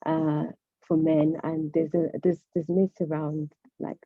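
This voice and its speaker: Woman, low voice, dull dry audio. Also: little pitch movement, a little slow, no echo. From Zimbabwe. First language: Shona. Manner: friendly, hesitant, with many fillers. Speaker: personal trainer. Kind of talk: guest self-introduction.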